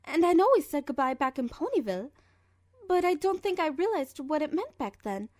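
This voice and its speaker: A woman's voice: high-pitched, very animated, brisk, a little mellow, no room sound, clean studio sound. A woman voicing a refined, lovestruck young female pony fashionista, theatrical and elegant, in an audio drama letter reading. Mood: tender, resolved.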